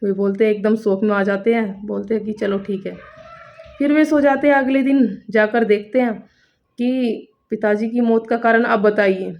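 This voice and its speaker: A woman's voice: mid-range voice, fairly even intonation, moderate pace, gravelly. A woman telling a story to viewers informally, repeating phrases and building suspense as a storytelling coach would. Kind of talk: spoken storytelling, explaining to viewers. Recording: dull lo-fi recording.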